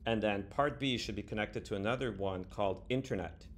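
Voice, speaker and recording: Low-pitched man, casual and halting, noisy room. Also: a bit bright. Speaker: software developer presenting a demo. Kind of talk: casual screen-recorded demo. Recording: background room noise.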